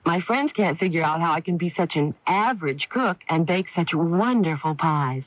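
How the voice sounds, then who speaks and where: An actress playing a modest, cheerful home baker giving a testimonial in a radio commercial. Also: female voice, on the low side, expressive, normal speed, dry recording, lightly acted. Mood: friendly, confiding. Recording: dull lo-fi radio broadcast, dry.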